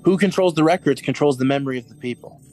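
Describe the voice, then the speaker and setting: A man's voice: on the high side, fast, darkish tone, soft background music, lightly acted. An actor playing an anxious, furtive male party clerk in a radio drama, with a hesitant, nervous manner. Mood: dutiful.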